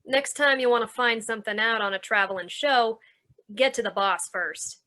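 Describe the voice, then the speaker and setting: Carnival general manager played by an actress: suspicious, brusque, forceful edge, slightly high pitch, vintage radio noir. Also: female voice, moderate pace. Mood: needling, threatening. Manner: brusque, slangy, needling. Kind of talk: old-time radio noir acting.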